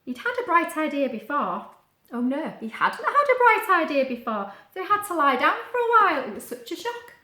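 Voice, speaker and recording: Female storybook reader, very animated and bright, slightly high voice, chatty asides to young listeners. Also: fairly quick, echoey room. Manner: expressive, explains words and asks the listener questions. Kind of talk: children's read-aloud with chatty asides.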